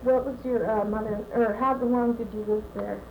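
Woman interviewer, mellow voice, fairly high, hesitant questions, faint hiss. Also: fairly even intonation, moderate pace, poor audio. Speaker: oral history interviewer. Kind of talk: reading intro, asking questions.

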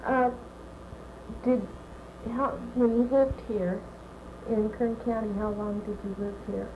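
A woman's voice: fairly high pitch, steady tone, takes it slowly, noisy background. A woman conducting an oral history interview, asking hesitant questions with fillers. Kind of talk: reading intro, asking questions.

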